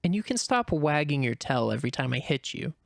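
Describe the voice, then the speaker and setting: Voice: medium pitch, very animated, a little fast, gravelly, no echo, clean studio sound. A man voicing a feisty small female rabbit, blunt and stubborn, in a dramatic reading of a screenplay. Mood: indignant, challenging.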